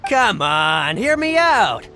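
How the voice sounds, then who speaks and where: A man's voice: high voice, very animated, slow, sharp tone, faint music behind, heavily acted. A man voicing a slippery, wheedling salesman in a video game. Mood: wheedling, pushy.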